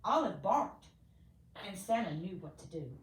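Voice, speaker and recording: A woman reading a children's storybook aloud expressively, with theatrical, deliberate emphasis for young listeners. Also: fairly low pitch, sing-song. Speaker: storybook reader. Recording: echoey room, distant mic, faint noise.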